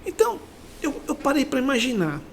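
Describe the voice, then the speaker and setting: A man's voice: very high-pitched, wildly swooping pitch, a little fast, gravelly. Brazilian man telling a story, noisy background. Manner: conversational, reflective, often seeks agreement with tag questions. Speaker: interview guest, company sales director. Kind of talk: personal motivational storytelling in a podcast interview.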